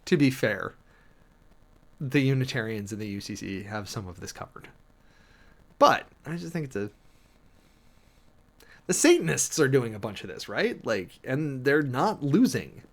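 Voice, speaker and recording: A man with a mid-pitched voice hosts a livestream, moving between formal reading and casual commentary. He talks informally, with halting asides and dramatic emphasis on key phrases. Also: big pitch swings. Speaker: livestream host. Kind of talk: reading a document aloud with off-the-cuff commentary.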